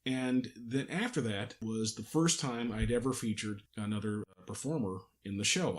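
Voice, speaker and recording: A man hosting a podcast in casual conversation, explaining things haltingly and often correcting himself. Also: on the low side. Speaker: podcast host, audio drama producer. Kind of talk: casual behind-the-scenes podcast chat.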